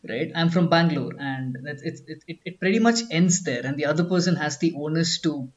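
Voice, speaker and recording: A man's voice: a little high, a little fast. A man from Kerala co-hosting a conversational podcast, explaining points with frequent restarts and "I mean". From Kerala, India. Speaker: podcast co-host. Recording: dull lo-fi sound.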